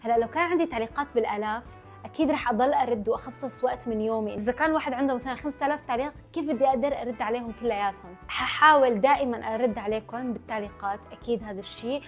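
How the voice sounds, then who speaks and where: Young levantine arabic woman vlogging, kinda high voice, talks super fast and chatty, eats half her words. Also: soft background music. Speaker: vlogger answering followers' questions. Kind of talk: casual chatty Q&A to camera.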